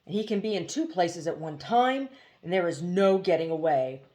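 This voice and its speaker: A woman's voice: on the low side, expressive, normal speed, mellow, lightly acted. A woman voicing a gloomy mechanical hoopoe bird from a storybook, lamenting in oddly broken phrases. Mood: dread, wailing. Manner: lamenting, fractured odd syntax.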